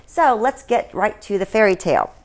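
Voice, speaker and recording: A woman's voice: mid-range voice, very animated, moderate pace. A middle-aged or older woman vlogging in a casual, chatty, folksy way, like a storyteller talking to her viewers.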